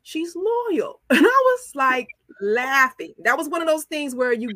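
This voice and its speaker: A woman telling stories candidly and casually as a podcast guest, acting out the dialogue she quotes in an animated way. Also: high voice, unhurried, no room sound, studio quality. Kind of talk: casual, candid storytelling in conversation.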